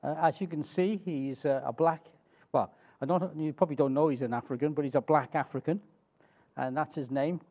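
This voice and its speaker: A middle-aged man with a Welsh accent speaking as a preacher, telling a story to a congregation in a halting, conversational way with little check-in questions. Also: fairly high pitch, steady tone, dull audio. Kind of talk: informal sermon telling a missionary biography to a congregation.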